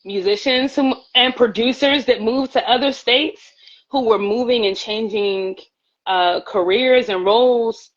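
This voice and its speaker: Slow-talking singer lady, earnest and rambly, kinda dull phone-stream sound. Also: fairly high pitch. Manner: earnest, rambling, repeats words. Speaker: singer, guest artist. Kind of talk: casual live-stream chat. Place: at home, by her pantry. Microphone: phone on a live stream.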